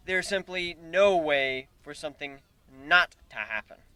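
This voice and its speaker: A man's voice: fairly high pitch, expressive, a little slow, sharp tone, smooth, dry recording. A man voicing a wry, wisecracking human hero in a fantasy story reading, given to exclaiming. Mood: warning.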